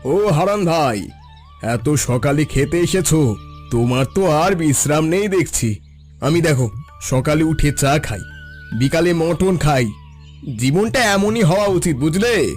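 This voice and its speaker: A man's voice: fairly high pitch, animated, unhurried, faint music behind. An actor playing a smug, idle rich neighbour in a cartoon folk tale, gloating and condescending as he drawls. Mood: smug, boastful.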